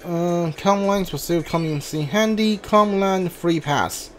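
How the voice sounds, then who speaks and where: A man's voice: sits high, measured pace, fairly bright tone. A man doing casual video game playthrough commentary, reading on-screen text aloud and mumbling to himself as he thinks. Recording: game music behind the voice. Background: video game music.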